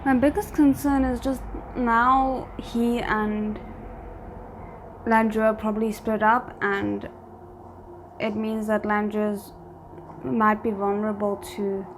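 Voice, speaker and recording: A woman's voice: medium pitch, flat delivery, slow, long pauses, a little hiss or hum, low-quality recording. A woman hosting a reaction video, talking casually and thinking aloud as she comments.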